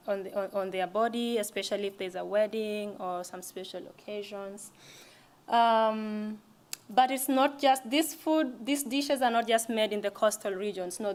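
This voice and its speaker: A young woman giving a casual library lecture, talking conversationally with frequent restarts. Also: medium pitch. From East Africa. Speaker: language presenter.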